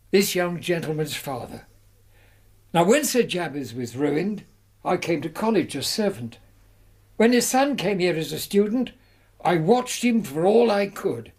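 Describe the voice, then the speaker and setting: Bannister, high-voiced old English servant. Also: male voice, a little mellow, breathy edge, heavily acted. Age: older. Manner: deferential, earnest, pleading. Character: loyal, emotional elderly college servant, former butler. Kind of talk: British radio drama acting.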